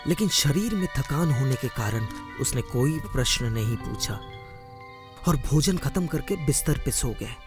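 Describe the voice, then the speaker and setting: A man narrating a suspenseful cartoon story in a scripted, dramatic storytelling style. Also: mid-range voice.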